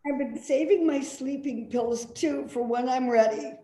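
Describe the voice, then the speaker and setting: A woman's voice: sits high, unhurried, mellow. A woman playing a bitter, despairing elderly mother in a dramatic play reading. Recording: roomy, echoing voice.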